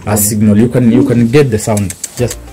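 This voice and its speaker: A man's voice: medium pitch, level tone, normal speed, music in the background. A young man with a Kenyan accent giving an informal, explanatory guided tour, repeating phrases as he goes. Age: young adult. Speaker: snake park guide. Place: open-sided snake park shelter with mesh walls.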